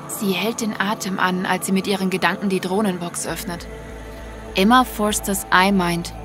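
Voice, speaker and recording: Female narrator for a science-fiction audio story, mid-pitched, faint music underneath.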